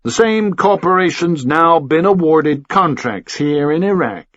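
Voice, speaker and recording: A man's voice: on the high side, expressive, a little slow, a bit bright, dry recording, muffled recording, pitched above the performer's own voice. A man voicing a hot-headed, outspoken male journalist in an audiobook, blunt and provocative. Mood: indignant, defiant.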